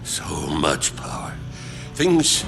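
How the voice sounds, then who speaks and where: A deranged, wildly swinging old villain. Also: male voice, a bass voice, huge pitch swings, gravelly, exaggerated voice. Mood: ranting self-justification. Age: older. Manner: halting, theatrical, ranting. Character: embittered, deranged old male colonist leader, self-justifying villain. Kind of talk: video game cutscene acting. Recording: music under the voice.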